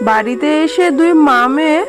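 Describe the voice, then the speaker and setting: High-pitched motherly cartoon fox, voiced by a woman. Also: lively intonation, moderate pace, over music. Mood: instructing, caring. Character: caring, practical mother fox, worried but hopeful. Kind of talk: children's cartoon dubbing.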